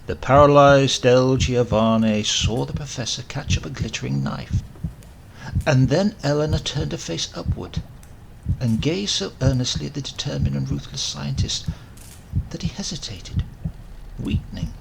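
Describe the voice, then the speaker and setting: A man's voice: medium pitch, moderate pace, gravelly, background noise. A man reading a horror story as an audiobook narrator, theatrical, emphatic and formal in his delivery. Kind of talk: dramatic scripted horror narration.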